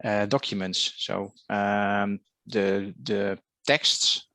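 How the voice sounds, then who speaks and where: A man gives a technical explanation in a hesitant, halting way, often repeating words. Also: fairly low pitch, fairly even intonation, creaky, pushed and bright, dry recording. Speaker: guest speaker. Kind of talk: technical discussion.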